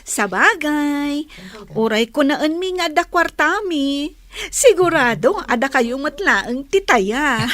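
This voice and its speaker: A woman's voice: high-pitched, expressive, slow, a bit bright, heavily acted. A woman with a Filipino Ilocano accent playing a coy, cheerful young student in a radio drama. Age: young adult.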